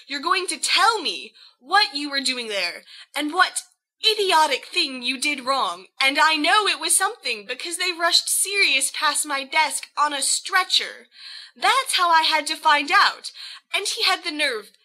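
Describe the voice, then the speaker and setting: A woman's voice: high-pitched, animated, unhurried, sharp tone, voice pushed up, exaggerated voice, low-quality recording. A woman voicing a furious young wife in an audiobook, scolding in an emphatic, clipped, demanding way.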